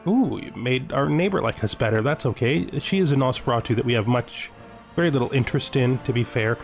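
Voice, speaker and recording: A man's voice: mid-range voice, a little fast, vocal fry. A man hosting a let's play, thinking aloud as he comments, with playful mock-menacing asides. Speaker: host of a gaming video series. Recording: music behind the voice. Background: music.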